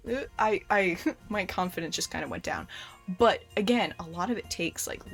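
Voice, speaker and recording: A young adult woman with an American accent, talking casually to camera as a host in a chatty, animated way with frequent restarts. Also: mid-range voice. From United States. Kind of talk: casual explanatory talk to camera.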